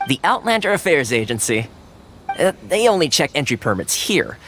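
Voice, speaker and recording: A man playing a friendly, playful young fixer with a polite, smooth-talking manner in a video game. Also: on the high side, sing-song, moderate pace, fairly bright tone, slight background noise. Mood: friendly, explanatory. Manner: smooth-talking, teasing, explanatory. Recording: music behind the voice. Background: background music.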